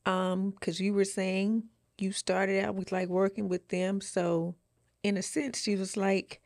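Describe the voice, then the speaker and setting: A woman's voice: medium pitch, little pitch movement, unhurried, darkish tone, no echo, clean studio sound. A woman co-hosting a podcast, chatting casually and conversationally. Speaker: podcast co-host.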